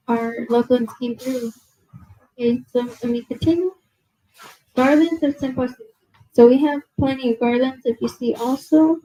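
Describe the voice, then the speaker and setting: A young woman giving a nervous museum presentation to an audience, reading from notes with many fillers and apologies, her soft low voice held away from a handheld mic indoors. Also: low-quality recording. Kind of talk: reading prepared talk to a live audience. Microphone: handheld mic held at a distance.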